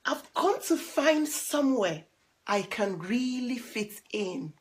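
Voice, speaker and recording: A woman voicing a lonely, gentle young male duckling in a storybook, simple and earnest. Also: a little high, expressive, slow pace. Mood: sad.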